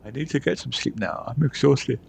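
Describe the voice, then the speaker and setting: A man's voice: mid-range voice, brisk, creaky, a little hiss or hum, pitched above the performer's own voice. A man voicing a weary, argumentative errand runner from a story game, pleading and exasperated.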